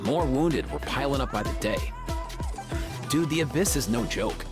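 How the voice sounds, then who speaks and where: A laid-back young vet, acted by a man. He talks in friendly, slangy dude speak for a game, his mid-range voice swinging freely over clear background music. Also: mid-range voice, lively intonation, moderate pace, close to an everyday voice. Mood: casual, earnest. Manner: breezy surfer-dude slang. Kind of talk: video game voice acting.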